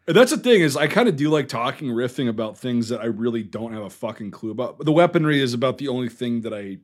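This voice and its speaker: Relaxed, agreeable podcast co-host. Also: male voice, medium pitch, quick pace. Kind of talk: casual movie-review chat.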